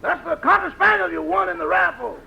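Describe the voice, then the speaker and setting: A man's voice: extremely high pitch, animated, fairly quick, creaky, pushed and bright, full character voice, rough recording. An actor playing a wry male servant who needles his boss, in 1950s radio comedy style. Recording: old radio recording.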